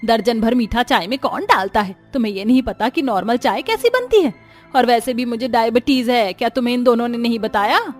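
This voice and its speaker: A stern, proud mother-in-law from an animated story, scolding with a sharp tongue, as a woman voices her. She speaks low and quietly for her kind, with a faint music bed behind. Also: brisk.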